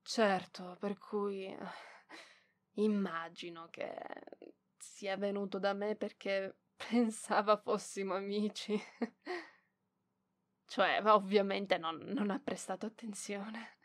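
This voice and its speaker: Una donna che interpreta una giovane bibliotecaria orgogliosa e impacciata, scontrosa ma tenera, esitante e indignata, in un roleplay ASMR. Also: female voice, mid-range voice, fairly even intonation, natural voice. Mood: subdued, apologetic. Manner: hesitant, indignant, halting with sighs. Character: proud, flustered young female librarian, prickly but softening. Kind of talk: ASMR roleplay voice acting, one side of dialogue.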